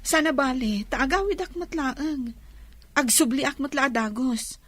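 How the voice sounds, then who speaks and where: A soft-hearted, anxious young woman in a radio drama. Her voice sits high and she speaks with an Ilocano accent. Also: measured pace, dry recording. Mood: hurried, evasive.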